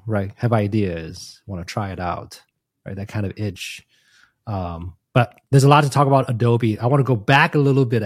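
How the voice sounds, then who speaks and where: A man's voice: lowish voice, a little mellow, no room sound, clean studio sound. A middle-aged man from Taiwan hosting a casual conversational podcast interview.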